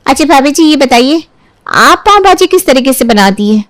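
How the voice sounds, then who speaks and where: Woman voicing a bossy young sister-in-law, high-pitched. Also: animated, moderate pace, a bit bright, no room sound. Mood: haughty, critical. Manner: condescending, interrupting, complaining. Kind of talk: acted dialogue in a Hindi family storybook tale.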